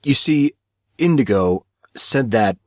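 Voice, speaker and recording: A man voicing an earnest, easily flustered teenage schoolboy who speaks haltingly in an audiobook. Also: mid-range voice, lively intonation, no echo, studio quality. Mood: embarrassed.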